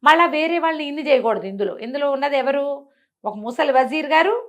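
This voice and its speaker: ఆంధ్ర యాసలో కథ చెప్పే మహిళ, కాస్త హెచ్చు స్వరం. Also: female voice, high voice, lively intonation, fast talker, fairly bright tone. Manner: conversational, explains with asides and rhetorical questions. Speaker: storyteller. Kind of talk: informal spoken bedtime storytelling for a listener.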